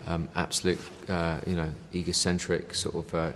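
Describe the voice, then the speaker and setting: A man's voice: deep voice, little pitch movement, normal speed, in a large hall, slight background noise. A man chatting casually in an interview, rambling with lots of fillers and the odd "mate". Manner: rambling, many fillers, says "you know" and "mate". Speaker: band member interviewed.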